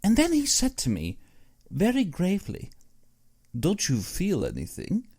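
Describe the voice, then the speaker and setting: A man voicing an uneasy, superstitious big-game hunter in an audiobook, earnest and brooding in his expressive delivery. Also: high-pitched, unhurried, husky, voice pushed up, heavily acted. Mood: ominous. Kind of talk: audiobook character dialogue.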